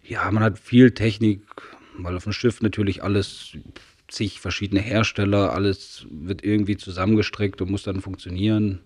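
A man's voice: fairly low pitch, steady tone, normal speed. A man from Saxony in his forties, guest on a casual podcast, telling stories in an easygoing way. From Saxony, Germany. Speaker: company owner, guest.

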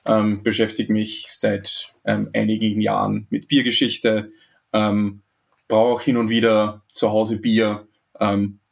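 A man's voice: fairly low pitch, flat delivery, slow pace, creaky. A man from Austria, in his thirties, speaking as a podcast guest, hesitant with many fillers and restarts. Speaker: interview guest. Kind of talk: podcast interview.

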